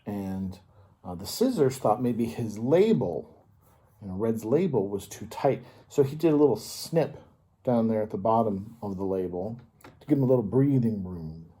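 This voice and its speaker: A man reading a picture book aloud to children as a storyteller, expressively and with dramatic pauses. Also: on the low side, animated, warm tone, husky.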